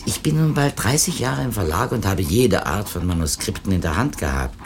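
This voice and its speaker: Older blunt editor, gravelly, swingy intonation, old radio play w noise behind. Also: male voice, lowish voice, animated, fairly quick. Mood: wry, weary. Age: middle-aged or older. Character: seasoned, blunt male publishing editor, world-weary and matter-of-fact. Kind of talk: 1970s German radio drama acting. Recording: noisy 1970s radio drama, train ambience.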